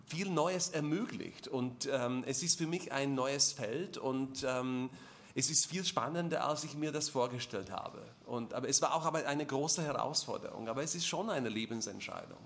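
A man's voice: medium pitch, fairly bright tone. Hesitant Slovak-born author in his forties. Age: 40s. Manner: hesitant, many fillers and restarts. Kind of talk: panel discussion at a reading.

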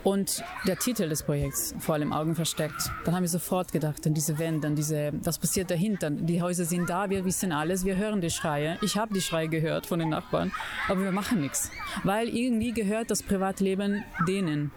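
Italian woman, low voice, halting interview answers over background noise. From Italy. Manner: searching for words, many fillers and restarts. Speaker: puppeteer and interviewee.

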